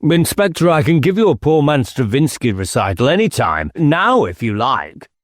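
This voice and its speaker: Evasive English squire, acted by a male narrator: whimsical, affected, clipped phrases, lifted pitch, storybook dialogue. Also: very animated, moderate pace, dry recording, studio quality. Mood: airy, teasing. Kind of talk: audiobook character dialogue.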